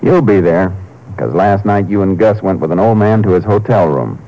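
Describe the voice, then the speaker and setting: An actor playing a calm, firm Texas Ranger in a 1950s radio crime drama, terse and dryly persistent. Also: male voice, deep voice. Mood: confident, pressing. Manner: terse, unhurried questioning, dry. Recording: muffled, hissy 1950s radio recording.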